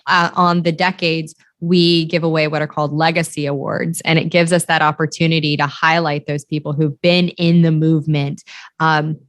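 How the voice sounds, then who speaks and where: A woman hosting a podcast interview, explaining things in a steady, smooth way. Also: low-pitched, normal speed, dry recording. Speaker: podcast host. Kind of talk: conversational interview.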